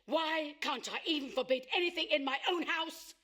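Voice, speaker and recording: A woman's voice: sits high, normal speed, pushed and bright. A woman acting an indignant, stubborn middle-aged mother in a radio play, protesting emphatically and dramatically. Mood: defiant. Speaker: actor.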